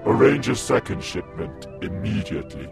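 Man playing low Cyberman voice, flat, mechanical, commanding, loud music behind. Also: moderate pace. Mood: cold. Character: cold commanding Cyberman leader and soldiers.

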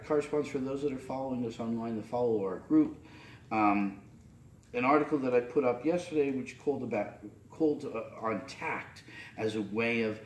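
A man's voice: medium pitch, a little hiss or hum. Middle-aged man preaching in a roomy chapel. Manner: loose, unscripted preaching with many fillers and restarts. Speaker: priest. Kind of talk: sermon. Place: small chapel, at a pulpit. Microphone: camera at a distance. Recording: roomy, distant camera audio.

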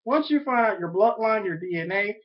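A young man talking earnestly and repetitively to his webcam from a small room at home, pitching an idea. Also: animated, fairly quick. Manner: earnest, repetitive, self-promoting. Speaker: vlogger. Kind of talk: rambling webcam monologue. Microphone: webcam at desk. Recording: dull lo-fi webcam audio.

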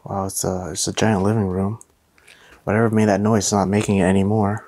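A young man vlogging casually as he walks through an abandoned mansion, chatty and excitable. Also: on the low side, fairly quick, heavy background noise. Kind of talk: casual walkthrough vlog. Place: indoors in an abandoned house.

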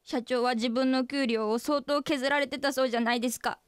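Blunt, higher-voiced female employee played by an actress. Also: fairly even intonation, fairly quick, clear, resonant tone, no echo, studio quality, close to an everyday voice. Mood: insistent. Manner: matter-of-fact, exasperated, formally polite. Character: blunt, stern female employee confronting her boss. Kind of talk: comedic radio drama dialogue.

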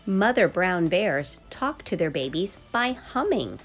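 A woman reading a children's nonfiction book aloud as storyteller, expressive and addressing young listeners directly. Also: lowish voice, measured pace. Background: background music.

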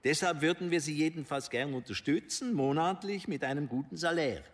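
An actor playing an older banker in a radio drama, persuasive and condescending, with measured phrasing. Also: male voice, a little high, in a large hall. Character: smooth older male banker, persuasive, patronizing, discreet.

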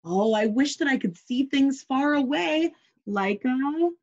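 Woman voicing a small wishful chameleon, slow. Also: high-pitched, lively intonation, darkish tone, dull audio, pitched above the performer's own voice. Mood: longing. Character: small chameleon, wishful, forever longing to be different.

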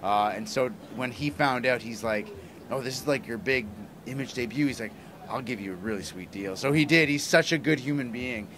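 Middle aged guy gushing in a casual interview, normal pitch, noisy convention room behind him. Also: normal speed, a bit bright. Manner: enthusiastic, effusive. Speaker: interview guest, comic writer and broadcaster. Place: small plywood-walled booth at a convention. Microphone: handheld interview mic held toward him. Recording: clear background room noise. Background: convention ambience.

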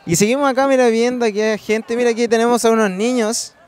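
A man's voice: extremely high pitch, fairly even intonation, fast. Young Chilean man reporting casually outdoors. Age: 20s. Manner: informal, chatty. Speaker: field reporter. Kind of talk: casual on-location interviewing. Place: outdoors beside a swimming pool. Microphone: handheld microphone.

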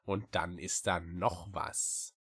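Weary female witch for an audiobook: very low, drawling, sardonic, reluctant. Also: little pitch movement, fairly bright tone, breathy edge, heavily acted. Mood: hesitant, embarrassed. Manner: slow, drawling, grudging, sighing. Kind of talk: audiobook character voice.

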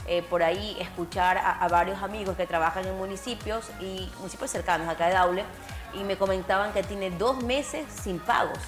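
A woman's voice: medium pitch, normal speed. Woman studio co-host, talkative and opinionated, music under her voice. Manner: opinionated, conversational. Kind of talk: studio news chat. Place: TV studio, indoors.

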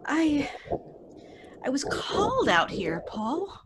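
High-voiced, haunted, troubled wife. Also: female voice, wildly swooping pitch, slow pace, heavy background noise, full character voice. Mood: startled, dazed. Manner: hesitant, dreamy, dramatic. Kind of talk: old-time radio drama acting.

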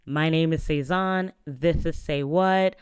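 A young adult gaming streamer with a partly lost voice, chatting casually and reading game text aloud into a close boom mic in a small home room. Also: slow pace, clean tone, no room sound. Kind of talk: casual let's-play commentary. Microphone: boom mic on arm, close.

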